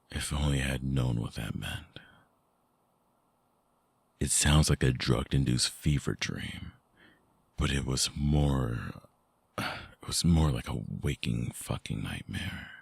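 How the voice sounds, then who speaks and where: A man playing a brooding, tender, wounded vampire ex-lover in an intimate ASMR audio drama, husky and breathy, with a halting, hushed delivery close to the mic. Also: extremely low pitch, no room sound, exaggerated voice. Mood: bitter, haunted. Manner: slow, halting, sighing, hushed confiding. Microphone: close binaural mic, dry sound.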